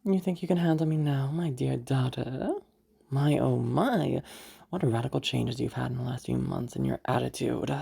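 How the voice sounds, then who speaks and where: Woman acting a husky, domineering, falsely sweet mother. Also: very deep voice, dark tone, heavily acted. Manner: condescending, drawn-out, veiled threats. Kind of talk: amateur audio roleplay acting.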